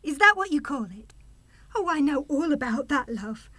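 Actress doing a young mum in a radio drama, scornful and passionate, high voice, close dry sound. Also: female voice, big pitch swings, normal speed, sharp tone, no echo, exaggerated voice. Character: defiant, passionate young mother, scornful daughter in love. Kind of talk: radio play acting.

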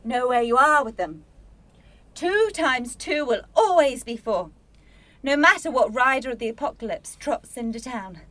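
A woman's voice: high-pitched, animated, measured pace, a bit bright, no room sound. An actress in a radio play playing a bitter, defiant teenage student, sarcastic and guarded in her manner.

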